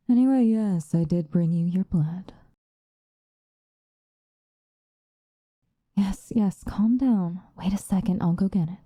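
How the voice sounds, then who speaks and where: Doting, menacing vampire lover, female performer: lowish, very mellow, soft whispery ASMR delivery, coaxing and condescending, close-miked. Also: no room sound. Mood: teasing, coaxing. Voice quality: soft, breathy, often dropping to a whisper. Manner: slow, coaxing, condescendingly affectionate. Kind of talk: ASMR roleplay monologue. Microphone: close microphone.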